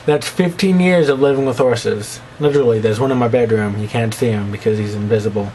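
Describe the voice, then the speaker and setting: A man's voice: fairly quick, dark tone, a little hiss or hum, poor audio. A young man with a slight lisp, talking to camera in a rambling, self-mocking vlog. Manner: rambling, self-mocking, breaks into squeaky put-on voices. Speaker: vlogger. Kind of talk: rambling casual monologue to camera.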